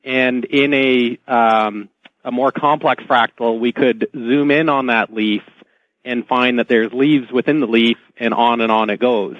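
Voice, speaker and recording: A man's voice: mid-range voice, fairly even intonation, measured pace, no echo, highs cut off. A man explaining things informally as an expert guest on a radio show.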